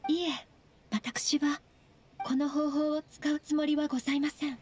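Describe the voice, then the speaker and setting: A woman voicing a polite, earnest, slightly shy young noblewoman in a Japanese anime game, speaking gently and formally. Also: high voice, little pitch movement, moderate pace, background noise, lightly acted. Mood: shy, resolved. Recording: soft game music behind.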